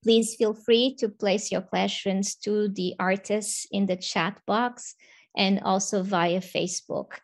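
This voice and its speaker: A woman opening an online panel with announcements, speaking slowly and deliberately over a video call. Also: mid-range voice, steady tone, vocal fry. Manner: slow, deliberate. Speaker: panel moderator. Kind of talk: event announcements.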